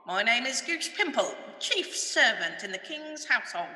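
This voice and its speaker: Woman as a high, pompous goose royal servant. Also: very animated, normal speed, sharp tone. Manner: pompous, formal announcing. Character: haughty, officious goose chief servant to a king. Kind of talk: amateur radio pantomime acting. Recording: echoey reverberant hall.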